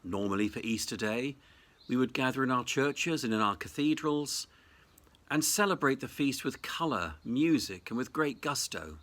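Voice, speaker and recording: A clergyman delivers a short Easter reflection. His voice is bright and forward, of middling pitch for a man. He phrases deliberately and thoughtfully, as a preacher does. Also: expressive. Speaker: Anglican cathedral dean.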